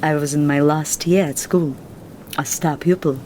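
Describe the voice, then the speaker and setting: An actress with a Russian accent playing a guarded, earnest young Russian woman in a film drama who speaks in a formal, measured and reserved way. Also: low-pitched, fairly even intonation, a little mellow, a little hiss or hum, lightly acted, poor audio.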